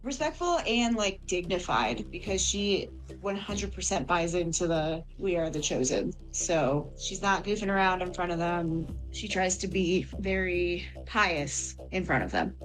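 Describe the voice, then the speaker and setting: A woman's voice: lowish voice, lively intonation, measured pace, few pauses, faint music behind, poor audio. A woman playing a tabletop game, casually explaining how her character thinks and behaves.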